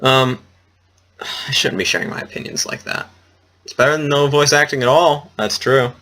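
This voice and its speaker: A man's voice: medium pitch, moderate pace, pushed and bright. Self-deprecating man doing casual game commentary. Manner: self-deprecating, awkward, rambling. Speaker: let's player. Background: game music.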